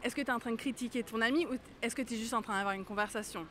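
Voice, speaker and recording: Female street interviewee, English mother tongue with light Swiss French accent, slightly high pitch, halting delivery, noisy outdoor recording. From Australia. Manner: hesitant, searches for words. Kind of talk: casual spontaneous street interview. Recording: outdoor street with loud traffic noise.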